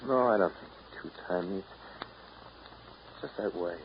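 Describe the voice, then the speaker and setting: An actor playing a restless, rueful friend in a 1930s radio drama, with a casual way of talking. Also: male voice, low voice, measured pace, creaky. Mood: rueful, urging. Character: Joe, restless, rueful male friend urging escape. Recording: muffled, hissy 1939 radio broadcast.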